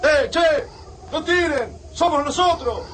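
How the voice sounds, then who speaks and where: A very high, urgent voice as a frantic scout in a radio drama. The shouts come through over clearly noisy scene ambience. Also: female voice, measured pace. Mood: urgent alarm.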